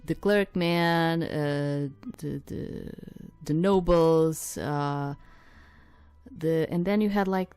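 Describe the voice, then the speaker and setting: A woman's voice: sits low, a little mellow. A woman streamer casually commenting while playing a visual novel, rambling with lots of fillers and restarts.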